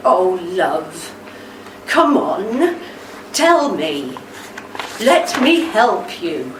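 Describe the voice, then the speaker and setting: A woman performs a gentle, insistent wife in a radio drama. She speaks slowly and coaxingly, with sorrowful tenderness. She sounds set back from the mic in an echoey, noisy room. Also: mid-range voice, very animated. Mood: gently insistent. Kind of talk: radio play acting. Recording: echoey room, distant mic, background noise.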